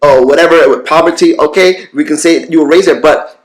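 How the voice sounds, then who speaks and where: A man's voice: a little high, brisk. A man co-hosting a faith podcast, exhorting listeners in a preacherly way and repeating his key lines. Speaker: podcast co-host. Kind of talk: faith-based podcast conversation. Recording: roomy echo.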